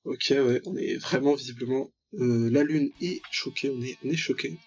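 A man's voice: mid-range voice, normal speed, lo-fi sound, faint music behind. A man giving casual, joking commentary on a visual novel, ironically paraphrasing and reacting aloud as he plays. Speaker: let's player, channel host.

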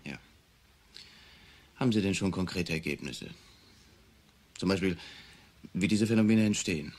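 An actor in a radio drama playing a stubborn, sceptical small-time clairvoyant who talks in a dry, terse, insistent way. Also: male voice, low voice, normal speed, darkish tone, a little hiss or hum. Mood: sceptical, probing.

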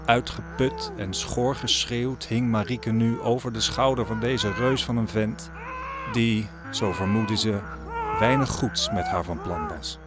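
Low-voiced male storyteller over music. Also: a little slow. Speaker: narrator. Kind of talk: scripted storytelling narration in an audio drama. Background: music under the voice.

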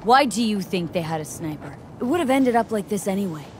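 A woman's voice: medium pitch, animated, a little fast, a little mellow, slight background noise, close to an everyday voice. An actress playing a cocky, hard-edged female mercenary in a video game, sardonic and unflappable. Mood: frank. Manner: sardonic, street-slang, unflappable. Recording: music behind.

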